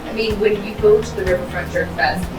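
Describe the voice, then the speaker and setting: A woman's voice: mid-range voice, a little fast. A woman hosting a casual interview in an informal, conversational way. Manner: informal, uses y'all. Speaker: interviewer, host. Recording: echoey room, music behind.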